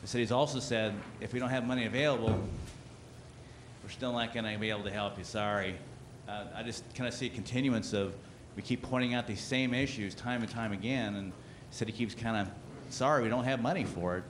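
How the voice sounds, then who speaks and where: Low-pitched man, blunt questioning, faint rumble behind. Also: fairly quick. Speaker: resident at public meeting. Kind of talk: public comment at a meeting.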